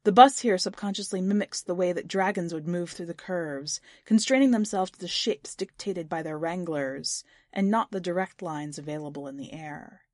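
A woman reading the part of an eccentric, excitable man in an audiobook story, declaiming and firing probing questions. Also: fairly low pitch, normal speed, few pauses, no room sound, studio quality, close to an everyday voice. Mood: excited, lecturing.

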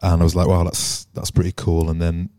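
A relaxed man in his early thirties chats on a podcast and tells stories about his past. His voice is very low and mellow, with little rise and fall. The sound is dry. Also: brisk, dry recording. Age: 30s. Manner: relaxed, chatty storyteller. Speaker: podcast guest. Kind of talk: casual chat.

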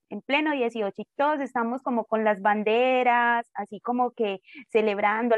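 A woman's voice: a little high, a little mellow, no room sound, dull audio. A woman interviewer asking long, rambling questions full of fillers. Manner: rambling, filler-heavy "como que".

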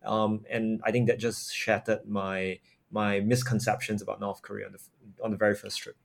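A man's voice: lowish voice, level tone, moderate pace, gravelly. A man in his thirties from Singapore, answering interview questions thoughtfully with frequent fillers and restarts. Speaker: podcast guest, nonprofit founder.

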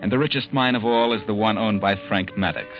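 A man narrating a 1940s radio drama as a grave storyteller, measured and dramatic. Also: lowish voice, close to an everyday voice. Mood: grave, suspenseful. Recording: dull old radio recording. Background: dramatic music.

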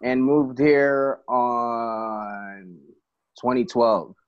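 A man from the Philippines speaks in a mid-pitched, relaxed voice, rambling and restarting as he chats. He laughs easily. The sound is a little dull, like a remote call. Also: extremely slow, smooth. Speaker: musician guest. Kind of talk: casual interview.

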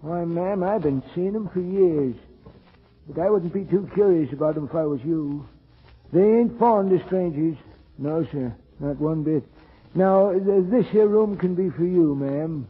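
A husky old hotel keeper, pitched fairly high for a male voice. He is fussy and eccentric, rambling along in folksy rustic speech. It is 1940s radio drama, thin and tinny. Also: unhurried. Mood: folksy, eerily matter-of-fact. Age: older. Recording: tinny, band-limited 1940s radio recording.

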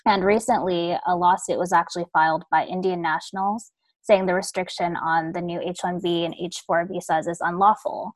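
Woman, podcast co-host, somewhat low pitch, semi-scripted introduction, dry sound. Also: fairly even intonation, normal speed, no echo, poor audio.

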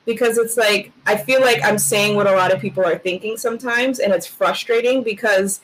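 A woman's voice: medium pitch, fairly quick, a little hiss or hum, low-quality recording. A woman raised in the Netherlands, hosting a podcast in casual interview chat, earnest and full of fillers like "um" and "literally". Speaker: podcast host.

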